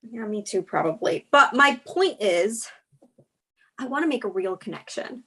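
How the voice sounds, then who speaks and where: A woman's voice: fairly high pitch, animated, measured pace, a bit bright, husky, exaggerated voice. A woman playing a candid, exasperated young girlfriend with a wry manner in a comedy script table read. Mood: frustrated.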